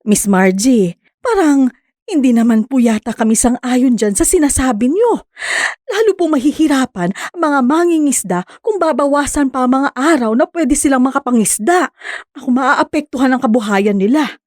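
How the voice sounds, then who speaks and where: An assertive woman who owns fishing boats in a radio drama. She is polite but outspoken. Her voice sits slightly high and is recorded dry. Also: animated, moderate pace, darkish tone, dry recording. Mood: firm, objecting.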